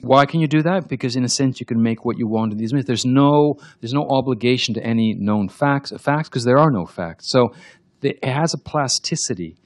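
A novelist whose first language is French, answering audience questions in a reflective way with frequent restarts. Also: male voice, mid-range voice, brisk, dry recording. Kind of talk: talk and Q&A.

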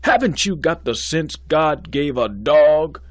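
A man voicing an excitable, blustering middle-aged male college head who scolds and shouts in a frantic, demanding way. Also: mid-range voice, unhurried, slightly rough, dry recording, voice pushed up. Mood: scolding.

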